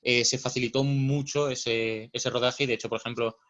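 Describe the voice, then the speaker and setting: A man's voice: medium pitch, steady tone, moderate pace, fairly bright tone, lo-fi sound. A man interviewing a guest, asking long questions with hesitant fillers.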